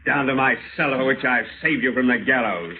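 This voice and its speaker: A man's voice: mid-range voice, slight background noise, close to an everyday voice. Man acting a menacing avenger, tinny old radio. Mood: cold menace. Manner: deliberate, theatrical, well-spoken. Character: vengeful husband, educated lawyer, coolly menacing and obsessed.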